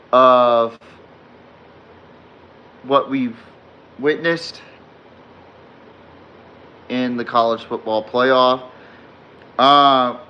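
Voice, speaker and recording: Male podcast host, slightly hoarse, slow rambling delivery full of ums, answers live chat; bright voice, dull lo-fi recording. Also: medium pitch. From Texas fan, not necessarily from Texas. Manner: rambling, filler-heavy, reads and answers chat comments. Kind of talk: live-streamed sports podcast recap.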